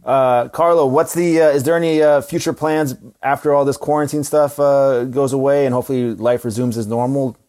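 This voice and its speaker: Brief: relaxed male host, mid pitch, hesitant fillers. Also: fairly quick, few pauses. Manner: easygoing, asks questions with hesitant fillers. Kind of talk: casual video-call chat.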